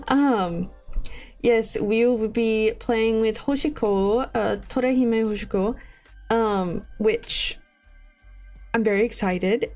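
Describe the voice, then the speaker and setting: Woman in her 20s, streamer, stuffy and sniffly from a cold; slow, rambling, giggly delivery. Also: mid-range voice, darkish tone. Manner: rambling, laughs often. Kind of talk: casual live-stream chat.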